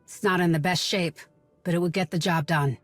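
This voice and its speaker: A woman's voice: on the low side, steady tone, brisk, no room sound, soft background music, close to an everyday voice. An actress playing a guarded, bitter young woman in a video game, blunt, sardonic and distrustful. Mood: suspicious, irritated. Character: guarded, bitter young local woman searching for missing sister. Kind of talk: video game cutscene acting.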